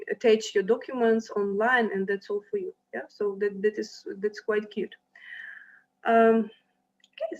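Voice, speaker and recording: A young woman from Ukraine gives an online slide presentation to prospective students, her fairly high voice stopping often for fillers and restarts. She sounds like she is speaking into a computer mic on a video call. The audio is dull and lo-fi. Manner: hesitant, many fillers and restarts. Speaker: university representative presenting to applicants.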